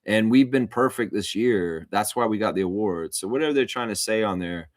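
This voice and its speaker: A man's voice: fairly low pitch, fairly quick, dry recording, studio quality. A man hosting a livestream and calling live basketball play-by-play, mixed with casual, opinionated fan chat to viewers. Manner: informal, opinionated fan talk. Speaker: host and amateur play-by-play commentator of a livestream watchalong.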